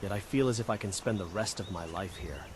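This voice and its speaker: A man's voice: sits low, brisk, background noise, close to an everyday voice. A man playing a friendly, contented elf villager in a fantasy game, speaking in a courteous, poetic way. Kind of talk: fantasy video game dialogue. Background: music.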